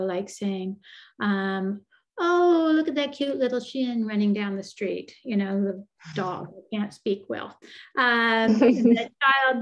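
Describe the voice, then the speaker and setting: A woman's voice: mid-range voice, dull audio. An older woman, a psychologist, answering questions on a video call in a thoughtful, explanatory way with frequent fillers and restarts. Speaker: developmental psychologist guest.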